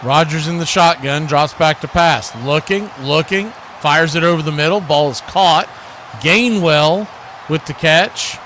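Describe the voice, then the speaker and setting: Guy w a kinda high voice doing live football play by play and chatting, noisy background. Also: a little slow. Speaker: radio play-by-play host of a live football watch party. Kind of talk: live sports play-by-play mixed with casual chat. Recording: noisy feed with steady background noise.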